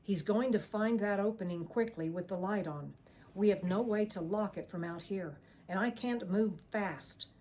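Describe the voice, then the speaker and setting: A woman's voice: medium pitch, moderate pace, dark tone, a little hiss or hum, natural voice. A woman narrator voicing an injured young man urging his companion to flee. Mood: urgent. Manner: urgent, pleading, breathless. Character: wounded protective young man, weakening.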